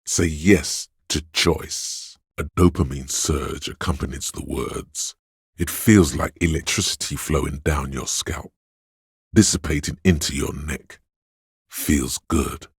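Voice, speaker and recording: An extremely low, heavily rasping male voice plays the voice of addiction inside someone's head in a video game. He coaxes and indulges with slow, insinuating relish. The sound is dry and close. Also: dry recording, full character voice. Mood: coaxing, excited. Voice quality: gravelly, husky bass. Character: seductive inner voice of addiction, male, indulgent and coaxing. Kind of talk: dramatic video game narration.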